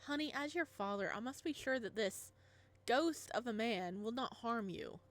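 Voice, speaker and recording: Woman reading as a gentle, wry young dad. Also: on the high side, lively intonation, normal speed, close to an everyday voice. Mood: stern. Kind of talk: reading a visual novel aloud.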